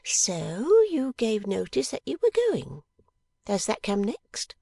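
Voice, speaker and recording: A woman voicing a sharp, impatient, bossy young dolls' dressmaker in an audiobook, with emphatic, scolding delivery. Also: mid-range voice, very animated, takes it slowly, vocal fry, husky, no room sound, pitched above the performer's own voice, full character voice. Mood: eager, teasing. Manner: emphatic, scolding, theatrical exclamations. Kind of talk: dramatised audiobook character reading.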